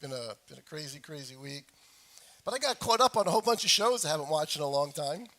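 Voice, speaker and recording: A middle-aged male pastor delivers a sermon in a somewhat high-pitched voice that is hoarse from a lingering cold. He speaks deliberately and leans on repeated phrases to drive his point home. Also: sing-song, sharp tone. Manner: frequent throat clearing. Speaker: pastor preaching to his congregation. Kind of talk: sermon with scripture reading.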